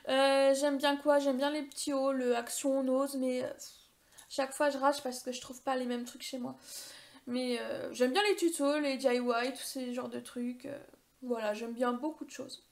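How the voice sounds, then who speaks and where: A woman's voice: high-pitched, steady tone, moderate pace. A woman in her thirties answering viewer questions in a casual vlog, chatty and rambling, with many hesitations and self-mocking humor. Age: 30s. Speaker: beauty YouTuber.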